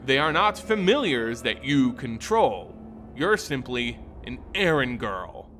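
A man's voice: mid-range voice, big pitch swings, slow pace, a bit bright, soft background music. A man playing a proud, shrewd holy warrior in a fantasy story, stern and challenging. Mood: belittling.

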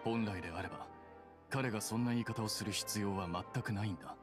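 A man playing a cool, aloof young scholar-official in an anime game, speaking in a measured, condescending deadpan. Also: lowish voice, close to an everyday voice. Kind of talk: Japanese anime game voice acting. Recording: music behind the voice.

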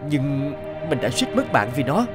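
An actor playing a proud, greedy, obsessive treasure collector in an animated fable, boastful and exclamatory. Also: male voice, mid-range voice, moderate pace, over music, close to an everyday voice. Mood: self-satisfied, fussy.

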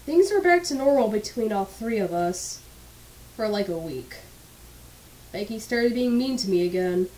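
A woman's voice: fairly high pitch, darkish tone, low-quality recording. Stuffy-voiced young woman telling a story. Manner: candid, blunt, swears casually. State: stuffy, slightly congested voice. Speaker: animated storytime narrator. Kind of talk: casual storytime narration.

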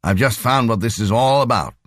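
A man voicing an excitable, worried male computer engineer in an audiobook, talking urgently and conversationally. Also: medium pitch, fairly quick, no room sound, studio quality, pitched above the performer's own voice, lightly acted.

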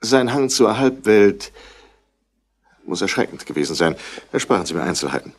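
A busy, self-important tycoon in a vintage radio crime play. The voice is on the low side and swings widely in pitch, with clipped, indignant delivery. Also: male voice, expressive, moderate pace. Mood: indignant, disapproving. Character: brusque, busy rich Australian businessman, wool merchant's son. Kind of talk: 1960s German radio crime drama acting.

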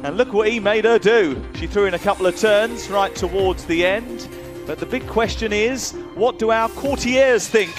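A man's voice: sits high, normal speed. A man giving live TV commentary and announcements for a competition show. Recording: loud music and crowd behind voice.

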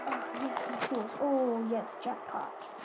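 A woman's voice: a little high, steady tone, takes it slowly, loud music behind the voice, rough recording. A woman giving casual, playful, chatty commentary during a video game roleplay. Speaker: gaming video host.

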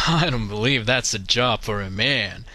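A man playing a caring, teasing young husband and father in a fan-dubbed animated drama. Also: fairly low pitch, a little slow, no room sound, full character voice. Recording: noisy amateur dub, clicks and birds.

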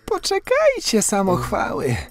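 A man voicing two sly young village wives with a high, sing-song, playful delivery. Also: wildly swooping pitch, unhurried, over music, full character voice. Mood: scheming.

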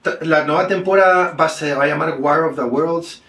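Mexican guest in his thirties, laid-back slangy talker, a little high-pitched, echoey room. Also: normal speed, distant mic. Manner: relaxed slangy storytelling, animated when telling stories. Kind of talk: casual storytelling interview. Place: small indoor room.

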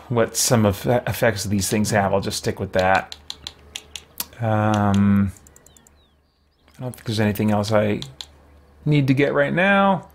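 A middle-aged man giving casual gameplay commentary, thinking aloud as he deliberates over his choices. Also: on the low side. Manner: musing, deliberating out loud. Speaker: video game streamer. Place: indoor room with shelves.

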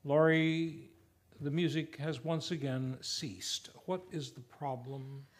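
A man's voice: a little high, fairly even intonation, slow pace. A man playing an exasperated, formal radio show host, announcing in a vintage radio style. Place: radio studio. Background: music.

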